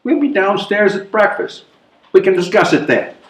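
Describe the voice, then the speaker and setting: A man playing an amiable, evasive older gentleman in a readers theatre comedy, with expressive, drawn-out delivery. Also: sits high. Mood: evasive, placating. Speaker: amateur readers theatre performer. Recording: roomy, echoing live stage recording.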